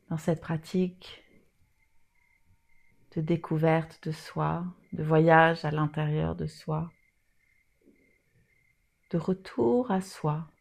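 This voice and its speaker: A woman leading a guided yoga nidra meditation in a soothing, soft manner with long pauses. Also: low voice, steady tone, creaky.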